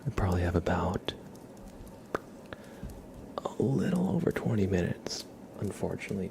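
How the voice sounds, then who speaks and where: A man with a low, gravelly voice that keeps slipping into a whisper. He talks casually to viewers as an ASMR livestream host, murmuring gently and without hurry. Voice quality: soft, mostly whispered, breathy low voice.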